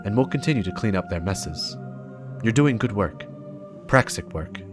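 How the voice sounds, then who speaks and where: A man's voice: fairly low pitch, expressive, normal speed, natural voice. A man performing a stern, driven investigator who dictates clipped, hard-boiled field reports in a dramatic reading of game lore. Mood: grim, resolute. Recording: music behind the voice.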